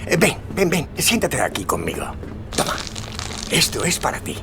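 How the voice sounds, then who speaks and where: A man playing an outwardly kindly older man with sinister intent in an audio drama, courteous, coaxing and soft-spoken. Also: on the high side, very animated, moderate pace, creaky.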